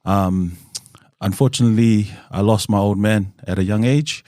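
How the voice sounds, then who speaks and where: Deep-voiced New man, relaxed. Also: normal speed, no echo, studio quality. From New Zealand. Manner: relaxed and open, with frequent "um" and "kinda". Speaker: guest. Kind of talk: candid conversational storytelling.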